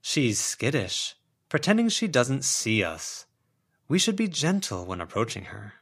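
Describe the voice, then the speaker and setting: A man's voice: mid-range voice, wildly swooping pitch, a little slow, fairly bright tone, slightly rough, voice pushed up, full character voice. Dreamy, theatrical eccentric young man, acted by a male reader. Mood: whimsical, languid. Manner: theatrical, intense, poetic. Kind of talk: audiobook character voice.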